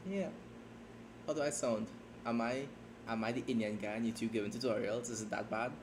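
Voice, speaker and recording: A man chatting casually on a writing livestream, rambling and self-deprecating, talking in halting starts. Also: mid-range voice, steady tone, noisy background. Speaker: streamer and fan fiction writer. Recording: keyboard typing audible behind voice.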